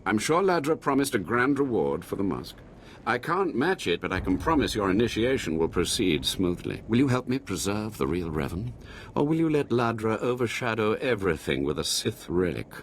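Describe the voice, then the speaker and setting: An earnest male scholar of a secret order for a video game. His lowish voice is very animated and expressive, with an anxious edge. There is faint noise behind him. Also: moderate pace. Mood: earnest, persuasive.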